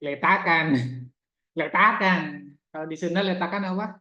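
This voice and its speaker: A man's voice: high voice, fairly even intonation, normal speed, sharp tone. A man teaching Arabic in a classroom, chatty and repetitive, quizzing his students as he goes. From Indonesia. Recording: echoey room, dull lo-fi sound.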